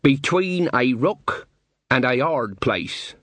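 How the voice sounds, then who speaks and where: A man's voice: medium pitch, lively intonation, slow, fairly bright tone, no room sound. A man voicing a blunt, scornful village man in a storybook, scolding in rustic grammar. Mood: grim.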